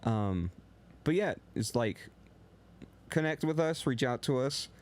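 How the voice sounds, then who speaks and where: A young man co-hosting a sports podcast in casual chat. He talks a little loud and rambles, with lots of filler words. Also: mid-range voice, expressive, takes it slowly. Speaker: podcast co-host.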